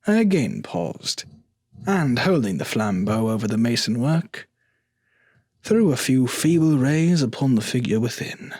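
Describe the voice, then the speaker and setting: A man playing a cold, vengeful nobleman who narrates a gothic horror story in a theatrical, formally phrased manner with a husky voice. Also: mid-range voice, big pitch swings, measured pace, a little mellow, no echo, studio quality. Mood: grimly measured. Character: cold, vengeful murderous nobleman recounting his crime.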